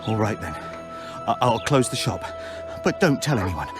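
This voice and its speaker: An actor voicing a defensive, evasive shopkeeper with a wheedling manner in a fantasy game. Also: male voice, on the low side, sing-song, measured pace, music in the background. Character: shifty, defensive male incense shopkeeper. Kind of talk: fantasy video game acting.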